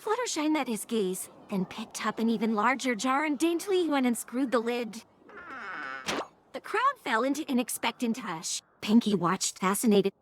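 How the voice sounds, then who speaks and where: A woman's voice: a little high, lively intonation, normal speed, crowd noise. A woman's synthetic text-to-speech voice reading scripted third-person story narration.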